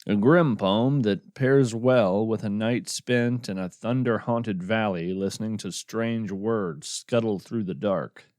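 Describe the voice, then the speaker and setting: A man playing a wry, musing naturalist who hosts a radio show of the uncanny, narrating in a measured, contemplative, folksy way. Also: lowish voice, a little mellow, no echo, clean studio sound. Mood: wry, reflective. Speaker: podcast host and narrator. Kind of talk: scripted narration, reflective storytelling with poetry reading.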